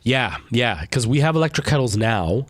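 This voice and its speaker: A man's voice: medium pitch, very animated, normal speed, no room sound, studio quality. A young man with a stuffed-up nose and a lingering cough, chatting casually with viewers while live-streaming a game, rambling with lots of fillers.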